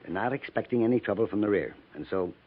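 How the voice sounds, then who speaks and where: A seasoned frontier scout in a fifties radio western. His speech is folksy and deliberate, with a clouded, husky edge and lively pitch, through the narrow band of an old broadcast. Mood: measured, assured. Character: plain-spoken seasoned frontier scout advising cavalry officers. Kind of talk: 1950s radio western acting. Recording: band-limited vintage 1950s radio broadcast.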